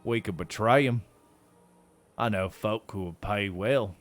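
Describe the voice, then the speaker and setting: A man voicing a scheming young peasant yokel with a deep, drawling put-on Southern accent, folksy in his talk. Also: unhurried, faint music behind, close to an everyday voice. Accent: put-on American Southern drawl.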